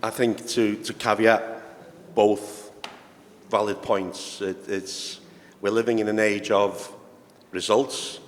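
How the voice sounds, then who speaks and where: A man speaking as a sporting director on a conference panel, measured and repeating words as he builds his points. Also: fairly low pitch, level tone. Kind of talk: panel discussion. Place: conference hall. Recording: reverberant hall with faint noise.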